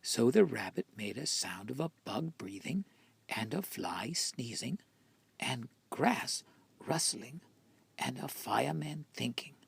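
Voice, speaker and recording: Guy reading a bedtime-style kids book, super breathy, slow n dramatic, really swoopy. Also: medium pitch, animated, dry recording. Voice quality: breathy, often near whisper. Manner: drawn-out, dramatic delivery. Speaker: children's story reader. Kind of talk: expressive picture-book storytelling.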